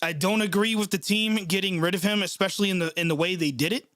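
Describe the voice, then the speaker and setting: A man's voice: high-pitched, quick pace, fairly bright tone, no room sound, studio quality. A young man hosting a live sports reaction stream, stuffed up from a cold, reading chat and venting with sarcasm and swearing. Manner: frustrated, sarcastic, swears often.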